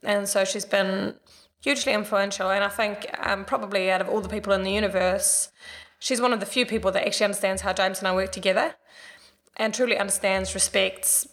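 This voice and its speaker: A middle-aged Swedish-accented woman talking quickly and freely as an interview guest. Her voice has a gravelly, fry-tinged texture, and she fills her speech with "like" and "you know". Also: medium pitch, fairly bright tone. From Sweden. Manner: chatty, lots of "like" and "you know". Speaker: interview guest, business founder. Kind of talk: casual interview answers.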